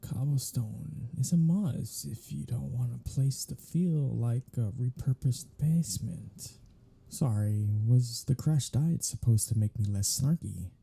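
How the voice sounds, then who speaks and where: A man playing a captive vampire in an audio roleplay, snarky, taunting and flirtatious, drawling sarcastic lines in a husky, gravelly voice. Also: medium pitch, unhurried, dark tone, heavily acted. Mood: sardonic. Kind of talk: scripted audio roleplay monologue.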